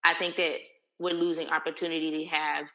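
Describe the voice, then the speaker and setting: A woman's voice: low voice, little pitch movement, a little fast, pushed and bright. A woman co-hosting a casual podcast discussion, earnestly explaining her view in long run-on sentences full of "like". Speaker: podcast co-host. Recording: dull lo-fi recording.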